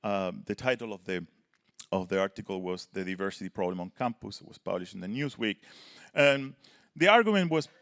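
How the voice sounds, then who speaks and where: A man speaks in a fairly low voice, laying out an argument on a discussion panel. He talks earnestly and keeps checking in with his listeners by tagging "right?" onto his points. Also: animated, fairly quick, fairly bright tone. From Chile. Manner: earnest, arguing a point, often tags "right?". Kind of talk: panel talk, prepared remarks.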